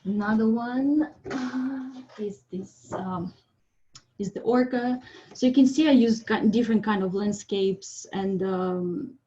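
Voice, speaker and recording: A woman from Siberia, giving a friendly, chatty artist's talk on a video call, pausing with ums. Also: fairly high pitch, dark tone, dull audio. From Siberia, Russia. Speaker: presenting artist. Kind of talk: informal online presentation.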